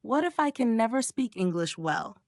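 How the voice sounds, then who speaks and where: A woman's voice: a little high, very animated, moderate pace, a little mellow, no echo, clean studio sound. A woman voicing a shy young female office designer, worried and earnest, her voice a little raised and hesitant.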